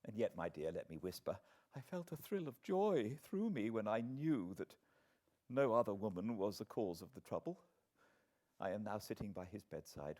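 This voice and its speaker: A man's voice: fairly low pitch, mellow. British man reading a classic novel aloud. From Britain. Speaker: audiobook narrator.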